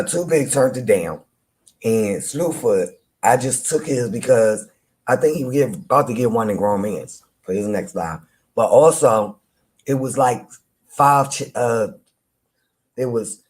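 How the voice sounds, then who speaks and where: A man with a deep voice, hosting a live chat room and teasing rivals in loose, playful banter with frequent laughter. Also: darkish tone. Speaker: live audio-room host. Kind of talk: casual trash-talking chat to listeners.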